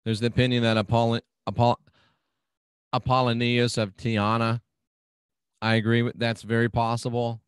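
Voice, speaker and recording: A man's voice: mid-range voice, little pitch movement, normal speed, pauses often, clear, resonant tone, no room sound. A man hosting his own radio show, chatting casually and reading out listener comments in a folksy, agreeable way. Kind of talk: casual talk reading out live chat comments.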